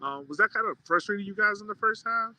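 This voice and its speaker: A man's voice: sits high, expressive, fast, pushed and bright, low-quality recording. A man hosting a podcast, speaking in a casual sports-interview style.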